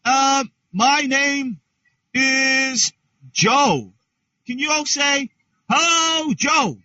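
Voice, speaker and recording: Guy teaching little kids over a video call, super slow and drawn out, muffled lo-fi sound. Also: very high voice, lively intonation, bright, forceful tone, no echo. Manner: slow, exaggerated, encouraging teacher talk with repeated prompts. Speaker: English teacher. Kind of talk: online class for young learners.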